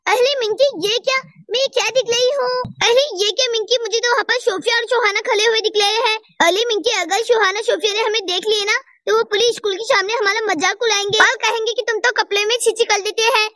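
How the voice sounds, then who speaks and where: An excitable little schoolgirl from a children's story, with an extremely high, bright voice. She speaks in exclamatory, sing-song phrases and sounds a bit distant from the microphone. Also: fast, few pauses, no echo, clean studio sound. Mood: worried. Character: excitable, easily embarrassed little schoolgirl chattering with friend.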